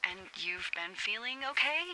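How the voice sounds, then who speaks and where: A woman's voice: mid-range voice, expressive, normal speed. A woman playing a wry, profane fire lookout supervisor over a two-way radio, in natural, conversational video game acting with stammered repetitions. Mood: hesitant, doubting. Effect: two-way radio filter. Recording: walkie-talkie sound with faint noise.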